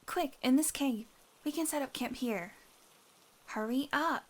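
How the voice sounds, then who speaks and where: A high, girlish-pitched female healer from a fantasy audio roleplay, played by a woman. She teases and talks down to the listener as if to a clumsy pupil. Under the sweetness she is possessive, domineering and quietly menacing. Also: measured pace. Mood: bossy, brisk. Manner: condescending, teasing, coaxing, with sighs and chuckles.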